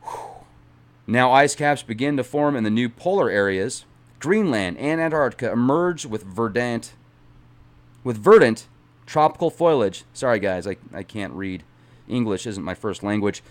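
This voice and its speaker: A man's voice: medium pitch, expressive, moderate pace. A man hosting an online channel, reading a book aloud with dramatic emphasis and breaking off for casual asides. He sometimes stumbles over words and corrects himself.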